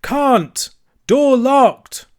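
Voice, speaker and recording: Man voicing a bold, shouting schoolboy. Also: very high voice, animated, extremely slow, voice pushed up, exaggerated voice. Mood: loud, emphatic. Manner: loud, emphatic, clipped calls. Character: bold shouting schoolboy, deliberately slow and loud.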